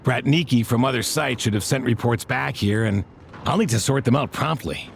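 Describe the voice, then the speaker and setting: A man voicing a dutiful, courteous male officer in a video game cutscene, calm and polite. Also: mid-range voice, moderate pace, gravelly, slight background noise, lightly acted.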